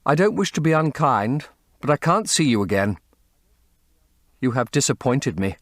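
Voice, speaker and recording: A man voicing a cold, disdainful young gentleman who speaks in clipped, bitter lines. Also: mid-range voice, sing-song, normal speed, no echo, studio quality, pitched above the performer's own voice, close to an everyday voice.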